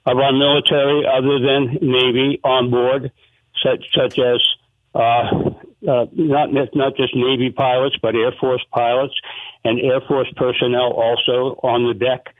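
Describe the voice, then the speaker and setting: A man's voice: mid-range voice, little pitch movement, a little slow, no echo. A man calling in over a tinny phone line. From Montana. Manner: rambling, polite and thankful. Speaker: caller. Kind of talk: radio call-in question. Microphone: telephone.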